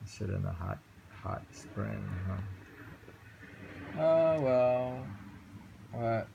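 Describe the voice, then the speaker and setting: A man's voice: medium pitch, lively intonation, drawn-out words, creaky, muffled recording, slight background noise. A man reading poems aloud and chatting casually at home, musing with hums and chuckles. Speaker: reader and host. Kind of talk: casual chat and poetry reading. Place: home in New York City on a rainy day.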